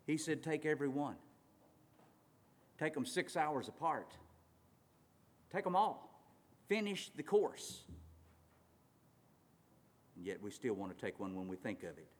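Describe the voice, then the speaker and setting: A man preaching a sermon, swinging between loud urgent passages and quiet slow ones, drawing out words for emphasis. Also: medium pitch, sing-song. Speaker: pastor. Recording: long reverb of a large hall.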